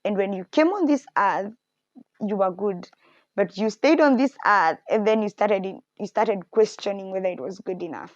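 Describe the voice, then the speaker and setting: A woman's voice: medium pitch, moderate pace, no echo, highs cut off. A young woman hosting a podcast, talking candidly and reflectively about faith and her insecurities. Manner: candid, reflective, animated when quoting others. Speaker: podcast host. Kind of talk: personal faith talk.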